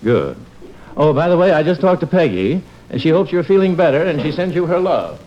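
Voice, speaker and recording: A man's voice: on the high side, animated, a little fast, lightly acted. A man playing a firm but kindly middle-aged doctor father in an old-time radio family comedy, reasoning in a paternal way. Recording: muffled, faintly hissy old radio recording.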